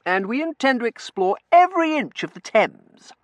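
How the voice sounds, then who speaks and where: Narrator playing an earnest young gentleman, swooping pitch. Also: big pitch swings, moderate pace, dry recording, close to an everyday voice. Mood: earnest, fervent. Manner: polite, formal, fervent. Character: earnest, courtly young gentleman suitor, eager to please.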